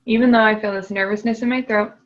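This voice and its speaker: A woman's voice: mid-range voice, fairly even intonation, fast talker, lo-fi sound. A woman client repeating tapping phrases after her coach and hesitantly describing her nervousness. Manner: hesitant, searches for words.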